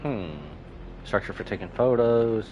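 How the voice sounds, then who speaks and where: A man's voice: mid-range voice, a little slow, lo-fi sound, background noise. A man live-streaming a game with casual commentary, musing aloud in short reactions over game music. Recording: music behind the voice.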